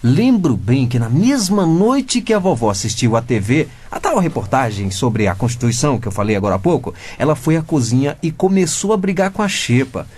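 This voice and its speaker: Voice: medium pitch, sing-song, a little fast, hardly any pauses, darkish tone, slightly rough. Alguém interpretando um garoto brasileiro de quinze anos convencido, que banca o detetive com ar pomposo em radionovela cômica. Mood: smug. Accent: Brazilian. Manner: pompous, theatrical, mock-formal interrogating. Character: cocky fifteen-year-old boy playing pompous amateur detective. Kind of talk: comedic radio drama acting.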